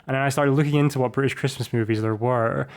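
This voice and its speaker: A man's voice: medium pitch, steady tone, fast. Casual man chatting about films. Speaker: podcast co-host. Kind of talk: casual film discussion.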